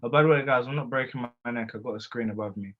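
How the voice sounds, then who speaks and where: Man, co-host, animated, jumps in with slang, mid-pitched, dull lo-fi call audio. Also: fast talker. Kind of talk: casual webinar chat. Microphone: video call mic.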